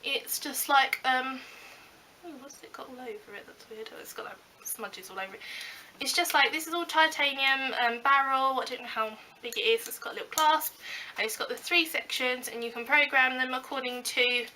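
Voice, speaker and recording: A young British woman with a slightly croaky voice from a cold, chatting casually through a beauty haul with lots of ums and likes. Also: sits high, moderate pace, sharp tone, slight background noise, low-quality recording. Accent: British English. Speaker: beauty vlogger. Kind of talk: casual haul video chat.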